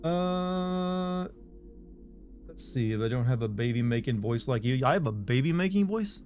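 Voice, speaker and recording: A man's voice: medium pitch, lively intonation, a little slow, a little mellow, soft background music. A man streaming a game, chatting casually and reading out viewer messages, rambling and making self-deprecating jokes.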